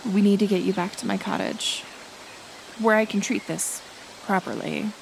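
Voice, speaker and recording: A woman playing a kind, stubborn healer who lives in the woods, speaking haltingly and earnestly to the listener in a roleplay audio drama. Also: mid-range voice, steady tone, creaky, lightly acted, rough recording. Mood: worried, focused. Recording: outdoor nature ambience, insect noise. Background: forest ambience with insects.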